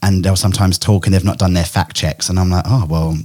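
A man's voice: deep voice, fairly even intonation, very noisy. A man in his thirties from England with a mixed English and Australian accent, chatting casually and joking at his own expense in a podcast interview at home. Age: 30s. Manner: chatty, self-deprecating, quick to joke. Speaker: event host and podcaster.

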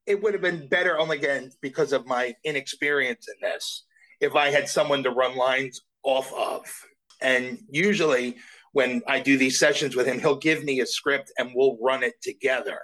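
Earnest middle-aged man, halting restarts. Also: mid-range voice, fairly bright tone, rough recording. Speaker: voice actor guest. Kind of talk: casual interview chat.

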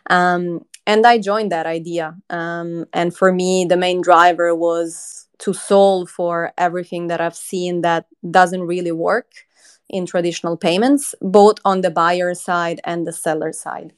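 A woman from Croatia in her thirties, a company chief being interviewed, talking in an earnest, measured way with frequent ums. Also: on the low side, flat delivery, clear, resonant tone. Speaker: crypto company CEO, guest. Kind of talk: conversational interview.

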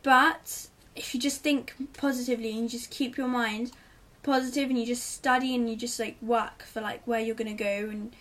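Teen girl guest, giggly and rambling, higher voice, slightly distant pickup. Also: fairly even intonation, normal speed, fairly bright tone. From Italy. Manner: chatty, giggly, rambling advice. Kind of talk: casual chat.

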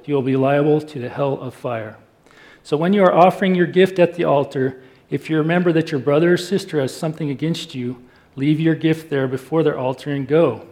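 A man's voice: fairly high pitch, mellow. A man reading scripture aloud from a prepared text. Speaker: volunteer scripture reader.

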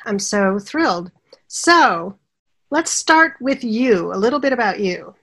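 A woman's voice: a little high, lively intonation, slow pace. Enthusiastic woman podcast host. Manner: enthusiastic, warm welcoming host. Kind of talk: podcast interview.

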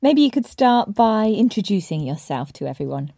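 Mellow-voiced woman hosting a podcast. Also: medium pitch, big pitch swings, normal speed, no room sound, studio quality. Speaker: podcast host, English teacher. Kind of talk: podcast interview with scripted intro.

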